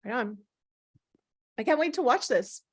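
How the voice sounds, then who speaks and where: A woman's voice: a little high, animated, measured pace, slightly rough. A woman reacting briefly in a casual group conversation. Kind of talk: casual chat, short reactions.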